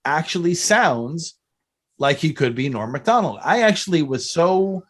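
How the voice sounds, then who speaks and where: A man's voice: fairly high pitch, lively intonation, a little slow, a bit bright. A man hosting a podcast, chatting casually in a salty, opinionated and effusive way. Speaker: podcast host. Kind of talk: casual interview chat.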